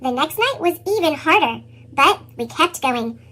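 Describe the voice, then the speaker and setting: A woman reading a children's picture book as an earnest young boy, with a squeaky pitched-up chipmunk effect. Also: moderate pace. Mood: earnest, proud. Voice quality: squeaky, sped-up chipmunk sound. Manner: animated children's read-aloud delivery. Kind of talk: scripted picture-book narration.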